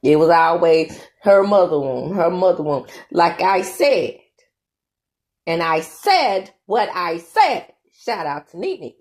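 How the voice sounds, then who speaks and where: A woman's voice: lowish voice, very animated, takes it slowly, a little mellow. A woman hosting her own commentary channel, giving her opinions in a chatty, emphatic way and tagging her points with "okay?".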